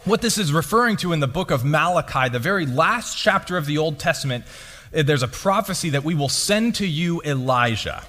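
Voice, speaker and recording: A young man with a deep voice preaching a sermon in a church, chatty and self-deprecating as he tells stories. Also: fairly quick. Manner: lively, conversational, self-deprecating storytelling. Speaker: pastor. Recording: long reverb of a large hall.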